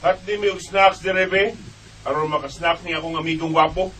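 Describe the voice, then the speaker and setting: A man plays a young, protective big brother in a Bisaya radio drama. His voice sits fairly high for a man, and his delivery is casual and bossy. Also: steady tone, brisk, sharp tone, slightly rough, low-quality recording. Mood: casual, joking. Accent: Filipino, Bisaya.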